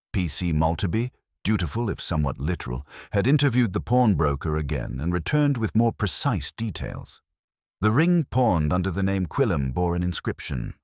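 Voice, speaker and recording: A man's voice: low voice, no room sound, studio quality. A man narrating a scripted mystery story as a storyteller.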